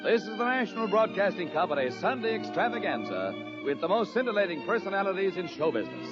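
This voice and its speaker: A man's voice: high-pitched, big pitch swings, fast talker. An American man announcing a 1950s radio show and its sponsors in a grand, emphatic showman style. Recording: muffled vintage radio broadcast over music.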